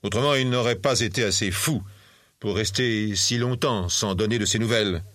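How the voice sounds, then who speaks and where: A man's voice: lowish voice, moderate pace, fairly bright tone, dry recording, clean studio sound, natural voice. A man voicing a stern, blunt old uncle in a storybook, grave and admonishing.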